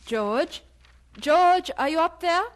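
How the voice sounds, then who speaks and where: A woman's voice: extremely high pitch, slow pace, a little hiss or hum, heavily acted. An actress playing a worried middle-aged mother, puzzled and fretful, in an audio drama. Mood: dismayed. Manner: concerned, expressive.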